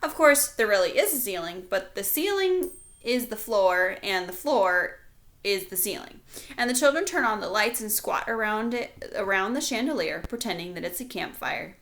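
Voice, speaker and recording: Woman, bright crisp-edged voice, animated intonation, classroom-style storybook read-aloud, explanatory asides to kids. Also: mid-range voice, normal speed. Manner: explanatory, addressing young listeners directly. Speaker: teacher reading aloud to pupils.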